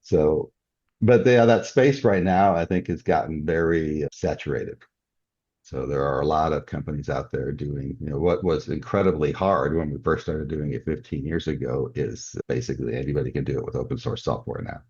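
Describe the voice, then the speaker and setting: Very deep, clear-voiced older man, muffled. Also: normal speed, a little mellow. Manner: chuckles often as he talks. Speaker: interview guest, author. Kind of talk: casual podcast interview. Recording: dull, muffled lo-fi audio.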